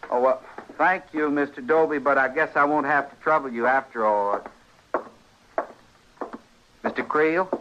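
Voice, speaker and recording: A man's voice: medium pitch, a bit bright, a little hiss or hum, lightly acted. An actor playing a polite, stubborn man who patiently stalks someone, with folksy, hesitant American speech in an old-time radio western. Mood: quietly menacing. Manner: folksy, deferential, hesitant, insistent. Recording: muffled old radio recording.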